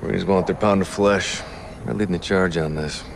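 Deep, laconic special operative. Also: male voice, fairly even intonation, moderate pace, creaky, a little hiss or hum. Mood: guarded. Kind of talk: cinematic game acting.

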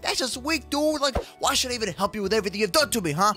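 A man playing an excitable, sassy kid hero in an animated gaming roleplay, peppering his talk with slang. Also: very high-pitched, quick pace, soft background music, exaggerated voice. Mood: indignant. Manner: slangy, says bro and dude constantly. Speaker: gaming video creator playing the lead character. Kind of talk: animated Minecraft kids roleplay acting.

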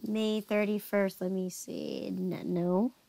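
A woman's voice: mid-range voice, little pitch movement, slow, gravelly, mellow, no echo. A woman tutoring accounting over a video call, asking patient, guiding questions and checking answers. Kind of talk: guiding a student through a worksheet.

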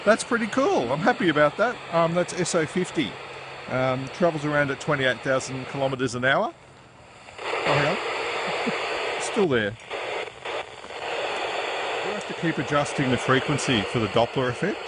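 A man's voice: a little high, expressive, normal speed, pauses often. A man in his forties with an Australian accent, making amateur radio contacts and chatting casually. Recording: noisy handheld phone video, loud background hiss.

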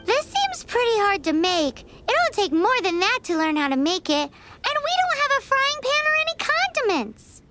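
A woman voicing a tiny, childlike floating fairy companion, cheeky and greedy, with a squeaky, childish delivery in a video game. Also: very animated, moderate pace, sharp tone, slight background noise, heavily acted. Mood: doubtful. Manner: squeaky, childish, refers to self by name. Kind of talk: scripted game dialogue.

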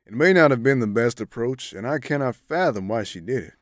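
A man playing a smug, soft-spoken grandfatherly agent in an audio drama, condescending and unhurried. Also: mid-range voice, lively intonation, dry recording, studio quality, close to an everyday voice. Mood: polite, smooth. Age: older.

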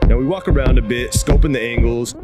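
A man's voice: medium pitch, brisk. A man in his thirties, a photography vlogger, narrating his trip in a casual, enthusiastic, slangy way. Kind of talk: upbeat travel vlog narration. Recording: narration under loud music. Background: loud music.

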